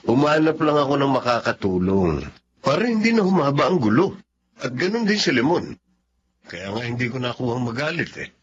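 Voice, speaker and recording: An actor playing a gentle, ailing male boss burdened by guilt in a Filipino radio drama. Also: mid-range voice, very animated, brisk, lo-fi sound, a little hiss or hum, close to an everyday voice. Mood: regretful.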